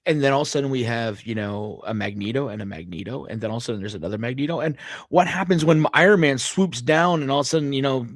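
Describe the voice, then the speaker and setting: Man in dry, halting casual chat. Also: mid-range voice, animated, no room sound. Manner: halting, repeats phrases, profane. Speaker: podcast co-host. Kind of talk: casual speculative fan chat.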